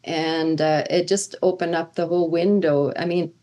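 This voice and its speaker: Earnest Swedish-born woman professor, low voice. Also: steady tone, moderate pace, mellow. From Sweden. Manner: earnest, with frequent fillers and restarts. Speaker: seminary professor of Old Testament. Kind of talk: conversational interview answers.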